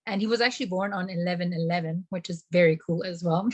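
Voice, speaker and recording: A young woman, earnest and gentle, sharing personal experiences in a casual chat. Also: on the low side, fast, dry recording. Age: young adult. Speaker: guest.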